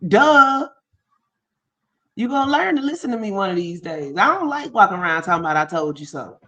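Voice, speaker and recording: A woman's voice: mid-range voice, big pitch swings, brisk, sharp tone. A woman co-hosting a live stream, chatting casually in a commanding, lecturing tone. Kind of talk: casual commentary chat.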